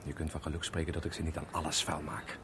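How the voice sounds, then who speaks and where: An actor playing an anxious, irritable middle-aged carpenter in a radio play, halting and gruff. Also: male voice, very deep voice, little pitch movement, a bit bright, slight background noise. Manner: hesitant, stammering, gruff when challenged. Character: unemployed carpenter over forty, irritable and anxious husband. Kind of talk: radio drama acting.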